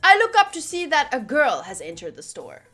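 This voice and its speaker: A woman's voice: high-pitched, normal speed. A woman streamer reading visual novel text aloud with casual, playful commentary. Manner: animated, playful, exclaiming. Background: game music.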